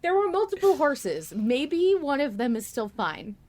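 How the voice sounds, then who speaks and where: A woman co-hosting a podcast, chatting casually and enthusiastically about a story as a fan, with an expressive, giggly manner. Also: high voice, measured pace. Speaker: podcast co-host. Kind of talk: casual fan discussion of an audio drama.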